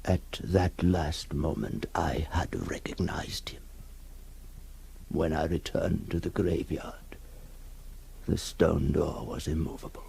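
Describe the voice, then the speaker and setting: A man playing an anguished ghoul in a theatrical 1960s radio horror monologue, halting between whispers and cries. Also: rumbling low, steady tone, creaky, dark tone, full character voice. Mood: bleak, resigned. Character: anguished male ghoul recoiling from his own monstrous reflection. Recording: noisy old 1960s radio recording.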